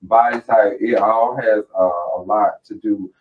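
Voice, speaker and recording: A man hosting a talk show, chatting in an animated, rambling way full of fillers. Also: unhurried. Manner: animated, rambling, lots of fillers and jumps in pitch. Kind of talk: casual interview chat. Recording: distant mic, muffled lo-fi sound.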